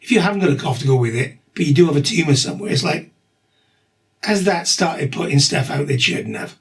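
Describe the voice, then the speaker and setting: Husky guy in his middle years, bit of a cough, rambles along matter-of-fact, slightly high voice. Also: expressive, brisk. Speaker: vlogger documenting his cancer treatment. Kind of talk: casual video diary.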